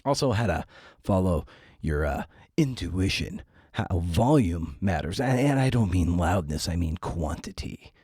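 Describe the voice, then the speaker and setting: A man's voice: low-pitched, very animated, unhurried, a little mellow, husky, no echo, studio quality. A middle-aged man hosting a podcast in a conversational style, with a wry, self-deprecating manner. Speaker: podcast host and interviewer. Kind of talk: conversational podcast intro and interview.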